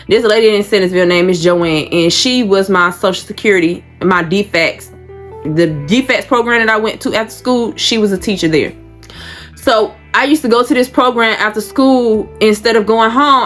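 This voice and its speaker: A woman's voice: mid-range voice, fairly bright tone. A woman in her thirties telling a painful personal story in a blunt, emotional way, swearing freely. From Georgia, USA. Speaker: vlogger.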